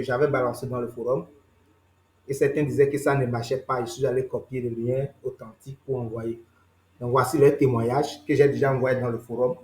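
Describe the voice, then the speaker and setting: A man's voice: mid-range voice, darkish tone, reverberant. A young man from Benin with a Beninese French accent, giving an online training session and checking in with his listeners as he explains. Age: young adult. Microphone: video call.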